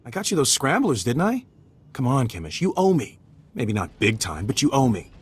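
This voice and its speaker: Young male rebel go-between, rough husky tone, animated pitch, eager and wheedling game dialogue. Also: normal speed, full character voice. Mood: pleading, defensive. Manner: ingratiating, pleading. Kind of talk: video game dialogue acting.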